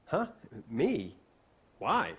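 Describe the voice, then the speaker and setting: Expressive, husky young game chef. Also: male voice, on the high side, very slow, full character voice. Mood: curious. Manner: expressive, questioning. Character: earnest young male chef, curious and questioning. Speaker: video game character. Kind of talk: video game voice acting.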